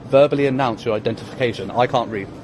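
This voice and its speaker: A man's voice: medium pitch, fast talker, noisy background. A man with a British accent films officers on a public footpath and questions them persistently about his rights. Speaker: member of the public filming officers. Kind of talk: confrontational street exchange.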